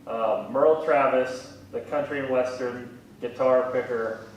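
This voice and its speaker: A man in his thirties chatting casually in an interview, with a wry, gently self-deprecating way of talking. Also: fairly even intonation, a little slow. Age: 30s. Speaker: museum communications specialist, interviewee. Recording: very echoey room, distant mic, faint rumbling noise.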